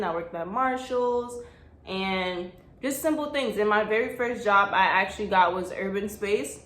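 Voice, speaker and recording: A woman's voice: medium pitch, a bit bright. A young woman talks straight into her phone camera, chatty and blunt. Her voice bounces off the walls of an echoey room. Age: young adult. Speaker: vlogger. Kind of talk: casual advice vlog.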